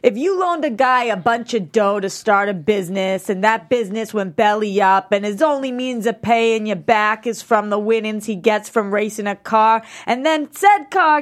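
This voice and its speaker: Woman doing a higher-voiced, swaggering young goon. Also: lively intonation, normal speed, few pauses, close to an everyday voice. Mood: menacing. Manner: blustering, dim-witted menace. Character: swaggering twenties male wannabe-Italian tough guy. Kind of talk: comedy table read.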